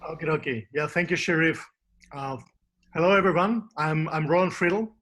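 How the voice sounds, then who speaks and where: A man from Austria with an Austrian German accent, presenting in a webinar as a business coach, calm and suggestive. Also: fairly high pitch, moderate pace.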